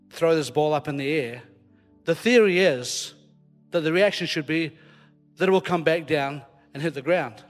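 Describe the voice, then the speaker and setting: A Scottish man preaching informally to a congregation, telling stories in a jokey, self-deprecating way. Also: fairly high pitch. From Scotland. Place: large hall, live before a congregation. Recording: long hall reverb, live church recording.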